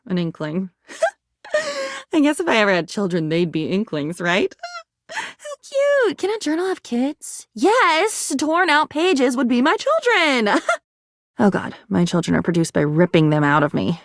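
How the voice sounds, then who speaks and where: A woman's voice: a little high, wildly swooping pitch, measured pace, no room sound, studio quality, heavily acted. A woman playing a living diary in a video game, whimsical, chatty and sardonic, rambling playfully with dramatic asides. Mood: playful, excited. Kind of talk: expressive video game voice acting.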